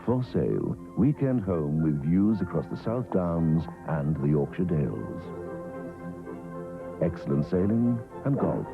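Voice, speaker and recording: A man with a gravelly voice reading a scripted television advert on a thin old recording. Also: sits low, sing-song, measured pace, phone-quality sound. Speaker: advert narrator. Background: music.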